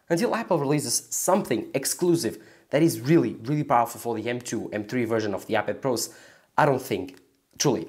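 A man sharing opinions on his tech channel in a relaxed, off-the-cuff way. His voice sits at an ordinary middle pitch and he repeats phrases as he thinks aloud. The energy lifts as he gets excited about ideas. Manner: enthusiastic, repetitive, speculating aloud. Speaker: tech video host. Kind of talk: casual opinion vlog to camera.

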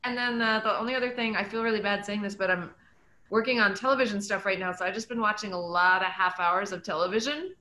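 A woman's voice: medium pitch, fast, bright, forceful tone. A middle-aged woman from New Mexico with an American accent, talking casually as a guest author. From New Mexico, United States. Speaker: author, guest at a book talk. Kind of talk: casual chat. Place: indoor room, on a video call. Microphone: computer mic on a video call. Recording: echoey room on video call.

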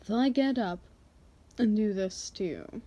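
Woman playing a slow-talking, teasing female boss. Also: mid-range voice, warm tone, dull audio, lightly acted. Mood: teasing, seductive. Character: confident, teasing female boss confessing to an employee. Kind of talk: ASMR roleplay.

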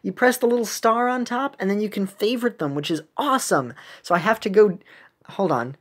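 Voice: mid-range voice, a little fast. A streamer with a mid-range voice of unclear gender gives casual commentary on a game. The talk rambles and halts, often as if thinking aloud to themselves.

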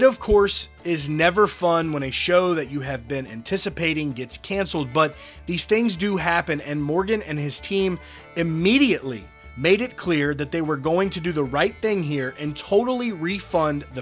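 A man's voice: a little high, fairly bright tone. A man hosting an entertainment news show, reading a scripted report in an upbeat way and quoting fans' posts. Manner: upbeat, reads quotes aloud. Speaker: host.

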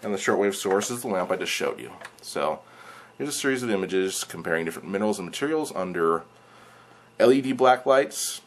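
A man's voice: deep voice, brisk. A young man talking casually and a little hesitantly, explaining things to camera in a home vlog. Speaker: video creator, hobbyist presenter. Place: living room indoors. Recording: clear steady background noise.

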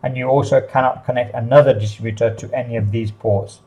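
A man presents a hands-on product overview with a medium-pitched voice. He explains step by step in a hesitant, stop-and-start way, unscripted, recorded by a camera mic in a small office. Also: steady tone, fast, mellow, muffled recording. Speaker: product demonstrator for a CCTV supplier.

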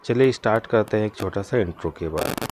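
A man's voice: on the low side, a little fast, background noise. An Indian-accented man giving an informal how-to narration as a mechanic and channel host, often addressing viewers as friends. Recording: loud music behind the voice. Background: loud music.

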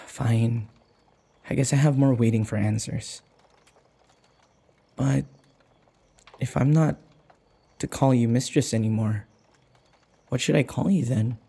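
A man playing a timid, submissive servant of a vampire mistress in an intimate audio roleplay, hesitant and halting. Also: mid-range voice, creaky, mellow, heavy reverb, big-room sound. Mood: nervous, flustered.

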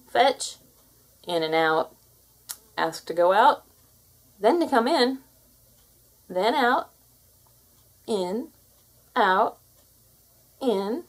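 A woman's voice: mid-range voice, sing-song, drawn-out words, long pauses, heavily acted. A woman voicing a confident, bossy alpha cat who lectures kittens, in a theatrical storybook read-aloud style. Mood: instructive.

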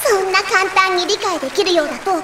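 A woman's voice: very high voice, a little fast, recorded from a distance, very noisy. A woman playing a haughty, bratty teenage girl idol, bossy and smug, in an anime audio drama. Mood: smug, teasing.

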